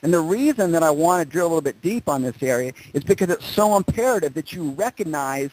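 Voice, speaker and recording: A man speaking as a motivational presenter, telling personal stories and driving points home with emphatic repetition and dramatic pauses. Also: fairly high pitch, animated, darkish tone, slight background noise, poor audio. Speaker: motivational speaker presenting on a group conference call. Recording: conference call audio.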